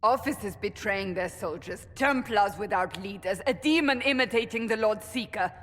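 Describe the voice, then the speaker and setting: A woman's voice: mid-range voice, normal speed, a bit bright, close to an everyday voice. Woman acting stern warrior adviser in reverb. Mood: indignant. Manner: blunt, wary. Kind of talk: video game cutscene acting.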